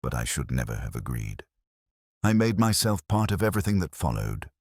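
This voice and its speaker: Man voicing a quiet guilt-ridden woman, very low. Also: very animated, fairly quick, no room sound, clean studio sound, heavily acted. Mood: guilty. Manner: quiet, hesitant. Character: tense, guilt-ridden woman confessing to a detective.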